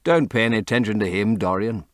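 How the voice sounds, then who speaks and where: A man's voice: fairly low pitch, fast talker, no echo, studio quality, lightly acted. A man voicing an earnest, noble-minded painter in an audiobook, speaking fervently and in an oratorical way. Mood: fervent, sincere. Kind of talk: audiobook character acting.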